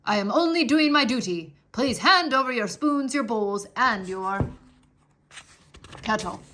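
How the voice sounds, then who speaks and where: A woman's voice: a little high, animated, measured pace. A woman doing a stiff, dutiful soldier. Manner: stiff, polite, shouts to be heard. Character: formal dutiful male soldier of the king.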